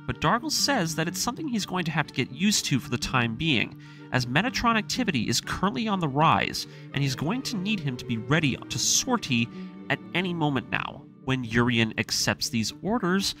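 A man reading a scripted story recap as the narrator of a series. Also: fairly high pitch. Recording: voice-over with music underneath. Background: music.

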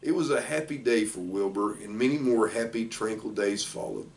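An older man, around sixty, reading a children's storybook aloud in plain, measured short phrases. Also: fairly low pitch. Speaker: football coach reading a children's book aloud. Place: small indoor office. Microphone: camera a few feet away. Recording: distant microphone in a room.

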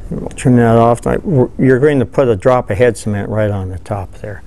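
A man's voice: mid-range voice, moderate pace. Older man demonstrating fly tying. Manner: relaxed, folksy, thinks aloud while working. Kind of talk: hands-on tutorial. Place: studio with dark backdrop, seated at table.

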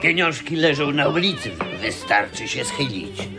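Wry man, radio play, creaky fairly high voice, music behind. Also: animated, a little slow.

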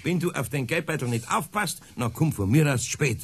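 Radio play: homely, grumbling older man, Bavarian carpenter, husky, animated delivery. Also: a little high, normal speed. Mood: offended. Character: grumpy, kindly old Bavarian carpenter uncle.

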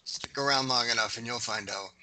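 A man's voice: mid-range voice, fairly quick, sharp tone, slight background noise, low-quality recording. A man playing a proud, restrained, family-minded young boyfriend in a screenplay read. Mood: casual, teasing. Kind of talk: acted dialogue in a screenplay table read. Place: indoors on a group video call.

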